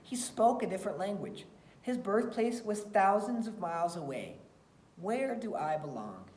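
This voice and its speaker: A woman reading a children's picture book aloud in an expressive storytelling style. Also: medium pitch, normal speed, a little hiss or hum. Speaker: read-aloud reader. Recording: roomy, echoey sound.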